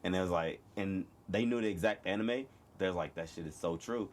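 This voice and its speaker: A young man with a lowish voice co-hosts a casual podcast chat. He tells stories loosely, often repeating himself. The tone stays unhurried and conversational. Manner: laid-back, storytelling, repeats words. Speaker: podcast co-host.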